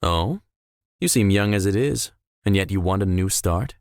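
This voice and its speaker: A man's voice: low voice, expressive, normal speed, dry recording, studio quality. A man voicing a suave, self-assured young billionaire in a visual novel, with formal, polished and theatrical phrasing. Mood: curious. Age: young adult. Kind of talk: visual novel character acting.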